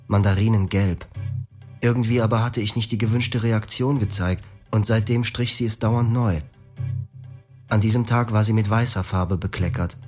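An actor in his twenties playing a brooding young husband who narrates calmly and reflectively, in a radio play with music underneath. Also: lowish voice, close to an everyday voice. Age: 20s. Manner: calm, reflective, poetic narration.

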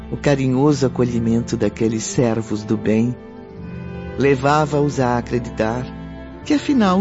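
A man with a Brazilian accent giving scripted, literary narration for a radio drama. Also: medium pitch. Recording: dull audio under loud music.

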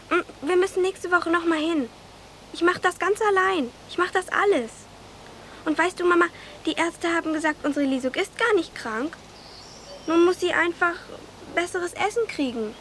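A woman's voice: very high voice, a bit bright, a little hiss or hum. A woman playing an earnest, protective young peasant girl with a hot temper in a radio drama. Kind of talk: German radio drama acting.

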